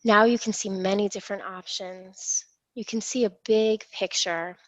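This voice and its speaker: A woman leading a guided imagery meditation in a soothing, unhurried, reassuring manner. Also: mid-range voice, fairly even intonation, no echo, rough recording. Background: gentle instrumental music.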